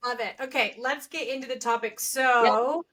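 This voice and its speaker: A woman's voice: on the high side, moderate pace, a bit bright. A woman co-hosting a podcast in a casual, chatty style, with an upbeat, effusive manner. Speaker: podcast co-host.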